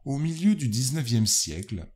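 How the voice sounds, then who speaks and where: A man reading scripted educational narration in a formal, measured, literary manner. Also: medium pitch, very animated, darkish tone. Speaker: narrator of a spiritist study guide.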